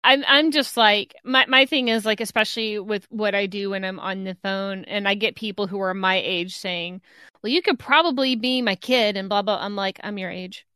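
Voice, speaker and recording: A woman co-hosting a podcast with a young-sounding voice, blunt and animated in casual chat, swearing freely. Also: medium pitch, moderate pace, clear, resonant tone, dry recording. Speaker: podcast co-host.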